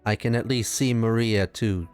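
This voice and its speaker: Man as mortal fantasy adventurer, earnest, insistent, lowish pitch, resonant clean tone. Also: moderate pace, darkish tone, soft background music, lightly acted. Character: earnest, determined mortal adventurer seeking guidance.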